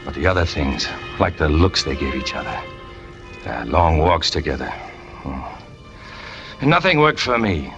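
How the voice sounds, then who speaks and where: An American actor playing a brooding, lovesick trapeze artist turned killer, terse and hard-boiled, in 1940s radio noir style. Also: male voice, a bass voice, big pitch swings, a little slow, pauses often, muffled recording, full character voice. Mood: anguished brooding. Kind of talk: 1940s radio noir acting, confessional narration. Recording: old 1940s radio recording with music.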